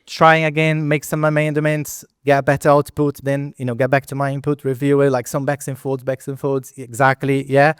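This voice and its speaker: A man's voice: mid-range voice, clean tone, no echo, clean studio sound. A middle-aged man from Brazil with a Brazilian Portuguese accent, giving a conversational conference talk as a product lead, with many fillers, restarts and non-native phrasing. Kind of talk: conference presentation. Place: event hall at a meetup.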